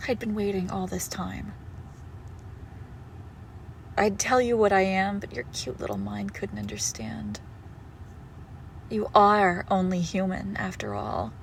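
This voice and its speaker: A woman's voice: medium pitch, expressive, slow pace, vocal fry, long pauses, a little hiss or hum. A woman playing a possessive young female shadow monster posing as a doting girlfriend in an intimate horror audio roleplay, speaking tenderly and menacingly, often slipping toward a whisper. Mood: possessive, sweet.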